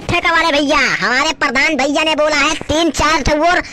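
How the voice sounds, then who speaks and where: A man's voice: very high-pitched, steady tone, normal speed, pushed and bright, slight background noise, heavily acted. A man voicing a boisterous, scheming village drunkard in a comedy cartoon, talking in blustery slang with a rural Uttar Pradesh accent. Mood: merry. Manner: colloquial, haggling, blustery. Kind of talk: amateur comedy cartoon dialogue.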